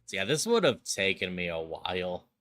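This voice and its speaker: A man with a fairly low voice streams a video game, chatting in a relaxed way and slipping into quiet, thoughtful narration. Game music plays clearly behind him. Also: moderate pace. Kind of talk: casual gameplay chat and dramatic narration. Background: background music.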